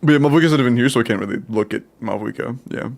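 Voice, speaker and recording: A young man with a stuffy nose, casually giving game account advice on a live stream, relaxed and full of fillers. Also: medium pitch, very fast, vocal fry. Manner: relaxed, chatty, many fillers and laughs.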